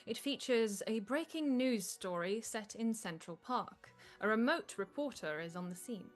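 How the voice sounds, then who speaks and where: A woman's voice: a little high, animated, unhurried, faint music behind. A woman reading stage directions as scripted narration at a live table read.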